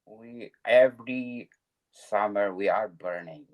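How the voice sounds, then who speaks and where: A man's voice: on the low side, takes it slowly, lo-fi sound. A man from Canada hosting a podcast interview, eager and loose with his grammar. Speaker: podcast host.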